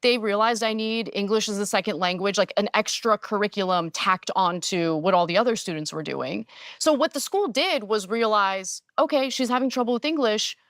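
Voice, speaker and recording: A woman's voice: medium pitch, moderate pace, no echo. Earnest woman, dry close voice. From California. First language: Armenian. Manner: earnest, steady storyteller with occasional light laughs. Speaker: guest telling her own story. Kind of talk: conversational personal account.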